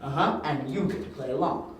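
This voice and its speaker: Casting: male actor as unflappable, wry sleuth in an audio drama, slightly high voice, distant from the mic. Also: a little fast, close to an everyday voice. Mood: reassuring. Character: quick-witted male detective undercover, wry and unflappable. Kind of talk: amateur audio drama acting. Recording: very echoey, distant, faint noise.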